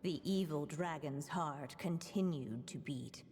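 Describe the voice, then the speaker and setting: A woman narrating a storybook tale in a game in a slow, measured way. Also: low voice, a little mellow, big-room sound, soft background music.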